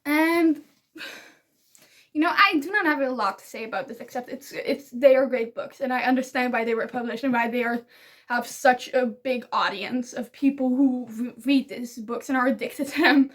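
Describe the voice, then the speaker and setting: A woman's voice: high voice, normal speed. A young woman whose first language is Dutch, casually chatting and gushing about books she loves in a rambling, giggly way. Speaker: book vlogger. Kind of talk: casual book review vlog.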